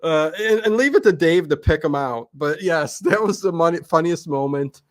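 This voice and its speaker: High-pitched, excitable American man. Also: normal speed, no echo, studio quality. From Cleveland, United States. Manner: excitable, repeats himself, interjects "listen". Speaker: YouTube channel host, interview guest. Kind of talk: casual livestream chat.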